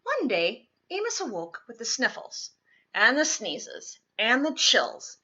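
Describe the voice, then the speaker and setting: A woman reads a children's story in a fairly high, bright voice with a slightly husky edge. She leans into words with drawn-out, dramatic emphasis. The recording is a little dull and lo-fi. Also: huge pitch swings. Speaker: storybook reader. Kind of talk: expressive children's read-aloud.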